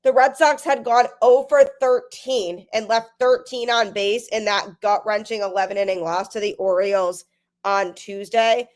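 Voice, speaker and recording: A woman's voice: mid-range voice, moderate pace. A young woman hosting a sports podcast, talking energetically with a stuffy voice from a cold. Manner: energetic, emphatic. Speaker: podcast host.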